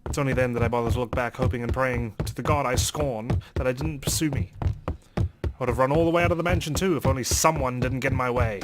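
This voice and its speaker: A man doing a let's play, reading a game's narration aloud in an expressive, dramatic storytelling way. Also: mid-range voice, fast, over music. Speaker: let's player.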